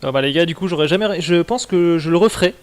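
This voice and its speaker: A man's voice: on the high side, fast, clear, resonant tone. A man giving casual, slangy, mildly sweary commentary as a video game streamer. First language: French.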